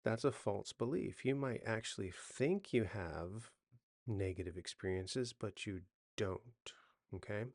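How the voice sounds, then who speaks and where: Man, 40s, low gravelly voice, dry close sound, relaxed unscripted coach explaining tips and checking in with "right?". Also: unhurried, no echo. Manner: conversational, self-correcting, often checks in with "right?". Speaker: hypnotist and coach giving sleep advice. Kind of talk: unscripted how-to talk to camera.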